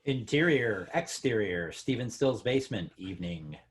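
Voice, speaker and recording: Guy reading scene headings, low raspy voice, script narration. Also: animated, normal speed.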